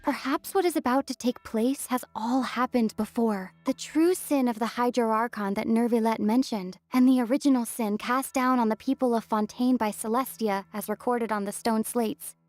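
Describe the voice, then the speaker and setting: A woman voicing a composed young adventurer in a video game, reasoning aloud in a measured, analytical way. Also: a little high, darkish tone, dry recording, lightly acted. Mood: thoughtful, serious. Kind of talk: video game character dialogue. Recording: music playing behind the voice.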